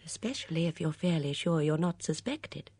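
A woman voicing a shrewd, gentle elderly English spinster in an audiobook, with a mild, absent-minded murmur. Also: sits low, lively intonation, normal speed, husky, dry recording, voice pushed up. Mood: musing.

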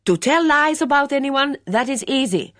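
A woman voicing an excitable foreign cook who speaks broken English, emphatic and repeating her words. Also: deep voice, slow, no echo, studio quality, pitched above the performer's own voice, exaggerated voice. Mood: contemptuous.

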